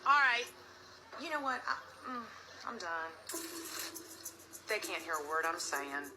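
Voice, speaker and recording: A woman's voice: on the low side, big pitch swings, measured pace, background noise. A woman in her forties on a reality show, arguing in an emphatic, defensive, blunt way. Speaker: reality TV cast member. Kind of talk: heated reality TV argument.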